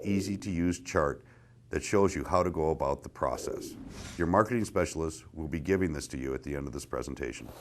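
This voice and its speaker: A man reading a scripted presentation in a deliberate, explanatory manner. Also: low-pitched.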